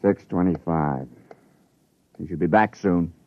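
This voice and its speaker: A man's voice: sits low, a little slow, no echo. An actor playing a cold-blooded, unfeeling spaceship crewman in a 1950s radio drama, speaking in a clinical, insistent way. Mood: subdued. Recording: muffled vintage radio recording.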